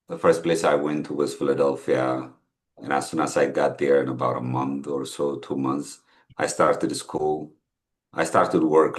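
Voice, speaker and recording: A middle-aged man with an Ethiopian accent, talking casually in an interview in a halting, reflective, conversational way. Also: extremely low pitch. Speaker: podcast guest, entrepreneur. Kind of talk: casual interview, telling life story.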